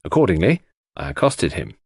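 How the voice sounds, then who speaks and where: A man narrates an audiobook in a dramatic, scripted style. His voice sits a little low and moves expressively up and down, recorded dry and close. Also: wildly swooping pitch, a little fast, vocal fry, a little mellow, husky, no echo.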